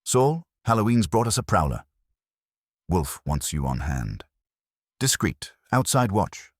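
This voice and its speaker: A man voicing a brisk, wry male detective's assistant in an audiobook, with a clipped manner. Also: low voice, sing-song, slightly rough, no room sound, clean studio sound, voice pushed up, exaggerated voice.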